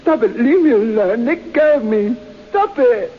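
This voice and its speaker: A man's voice: very high voice, animated, unhurried, slightly rough, heavily acted. An actor playing a disturbed man regressed to a petulant small child in an old-time radio drama. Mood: petulant, distressed. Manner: childlike, sing-song praying and whining. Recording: muffled old radio recording, faint hiss.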